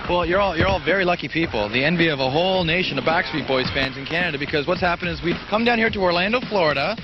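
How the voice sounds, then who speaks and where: A man hosting a music TV show, energetic and chatty. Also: fairly high pitch, quick pace, background noise. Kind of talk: upbeat TV hosting. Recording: dull TV sound with loud music behind.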